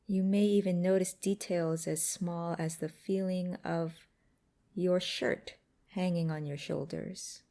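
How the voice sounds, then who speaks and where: A woman guiding a mindfulness exercise in a gentle, unhurried, instructive way with pauses between phrases. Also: lowish voice, steady tone, vocal fry. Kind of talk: guided meditation narration.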